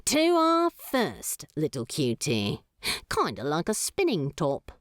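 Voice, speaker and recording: A woman's voice: deep voice, wildly swooping pitch, takes it slowly, dry recording, clean studio sound, voice pushed down, exaggerated voice. A woman voicing a smug, sardonic male demon who drawls and teases.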